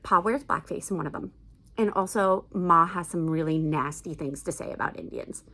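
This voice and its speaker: A woman's voice: on the low side, normal speed, bright, forceful tone. A middle-aged woman giving casual, chatty recommendations as a book vlogger, with an enthusiastic, emphatic manner.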